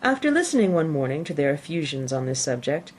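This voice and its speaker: A woman's voice: sits low, very animated, fast talker, a little mellow, slight background noise. A woman reading a classic novel aloud as scripted audiobook narration. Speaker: audiobook reader.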